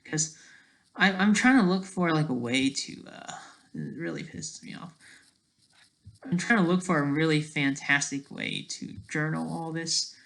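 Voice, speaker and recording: A person thinking aloud in a casual, digressive commentary over study notes, halting often and talking in an informal, self-deprecating way. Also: medium pitch, creaky, a bit bright. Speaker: solo online commentator.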